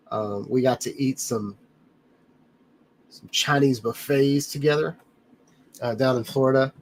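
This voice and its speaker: A man hosts a relaxed live stream from his home studio, wandering from topic to topic and calling out viewers by name. His voice sits in an ordinary middle pitch and sounds a bit congested, as if his sinuses are blocked. A faint room ambience hangs in the background. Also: a little hiss or hum, poor audio. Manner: rambling, friendly, addresses viewers by name. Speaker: livestream host reading chat. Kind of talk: casual live chat.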